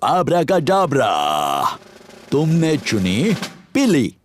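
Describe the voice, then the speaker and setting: A jolly pig father hams it up as a stage magician in a kids' cartoon. He speaks slowly with lively, swooping showmanship and playful grandeur. There is faint noise behind him. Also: a little high, heavily acted. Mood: grandly theatrical. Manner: theatrical, showy, playful. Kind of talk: children's cartoon dub dialogue.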